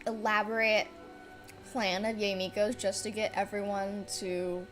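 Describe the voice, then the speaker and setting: A woman's voice: mid-range voice, little pitch movement, measured pace, fairly bright tone, soft background music. A woman streamer giving casual, rambling, joking commentary on a game in a hesitant way.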